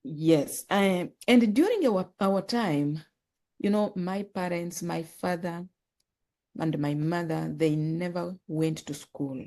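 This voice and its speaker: A woman from Uganda, telling her life story as a podcast guest, earnest and halting, often repeating words. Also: fairly low pitch, mellow. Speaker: guest, author and nonprofit founder.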